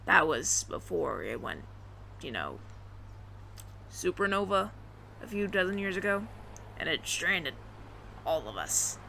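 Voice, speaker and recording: Woman acting as a flustered alien roommate, bright crackly voice. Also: mid-range voice, creaky, exaggerated voice. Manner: hesitant, stammering, earnest rambling. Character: friendly, flustered alien roommate posing as a human. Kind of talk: audio roleplay addressed to the listener.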